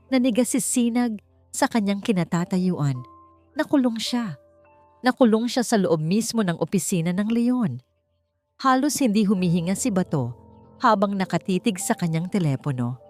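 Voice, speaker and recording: A woman reading a scripted, dramatic suspense love story in short, measured phrases. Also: fairly low pitch, darkish tone. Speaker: narrator of a story channel. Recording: clear music bed behind the voice. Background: background music.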